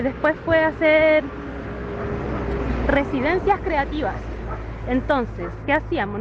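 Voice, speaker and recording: High-voiced woman, slightly muffled recording. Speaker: guest dance-theatre performer. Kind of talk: informal recorded personal account. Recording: muffled lo-fi recording with background noise.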